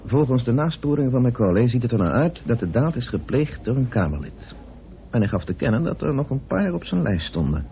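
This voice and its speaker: An actor playing a troubled, stubborn amateur detective with an earnest, brooding manner in an old Dutch radio drama. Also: male voice, mid-range voice, big pitch swings, a little fast. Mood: grave, earnest. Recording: noisy muffled tinny old radio recording.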